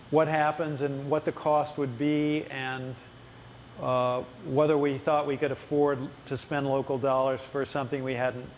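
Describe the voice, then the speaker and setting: A man's voice: mid-range voice, slight background noise. A man answering residents' questions at a public town hall meeting, explaining at length with frequent fillers and restarts. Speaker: city official at a ward town hall.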